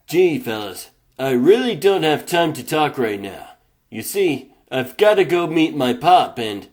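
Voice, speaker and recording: Cartoon teen mouse hero played by a man: highish, animated, defiant, slangy. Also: unhurried. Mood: impatient. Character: cocky young male mouse athlete under pressure. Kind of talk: comic dub cartoon acting.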